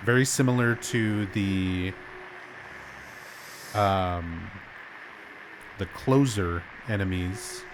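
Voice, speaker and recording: A man with a low, slightly rough voice from a sore throat talks through a game on a live stream. He explains things in an easygoing, chatty way and livens up when something amuses him. A steady hiss of noise runs under the recording. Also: drawn-out words, pauses often. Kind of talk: casual game commentary.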